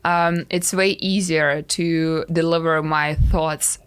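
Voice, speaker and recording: A woman in her thirties from Russia with a Russian accent, talking frankly and haltingly about her life on a podcast. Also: low-pitched, steady tone, clean tone, dry recording. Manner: frank, halting, many fillers. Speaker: podcast guest, life coach. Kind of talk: casual podcast interview.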